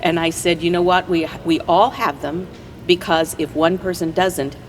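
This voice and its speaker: A woman's voice: lowish voice, fairly even intonation, moderate pace. An American woman speaking conversationally as a guest in a casual interview. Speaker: interview guest, play director. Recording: faint background noise.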